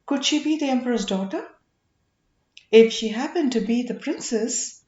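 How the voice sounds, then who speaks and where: A woman voicing a charming, persuasive young male gem merchant in a storybook, with a deliberate manner. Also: fairly high pitch, darkish tone, reverberant, highs cut off, close to an everyday voice. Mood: anxious wondering.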